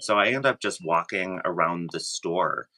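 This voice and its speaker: A man chatting casually as a guest, sharing his own experience in a halting way, often rephrasing himself. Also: sits low, gravelly, bright, forceful tone. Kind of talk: casual chat.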